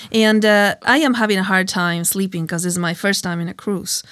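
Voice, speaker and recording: A woman with a Spanish accent co-hosting a Bible teaching show, talking in a lively, chatty way with frequent fillers and laughter. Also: medium pitch, moderate pace, dry recording. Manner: animated storyteller, laughs often, many fillers. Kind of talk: conversational Bible study chat.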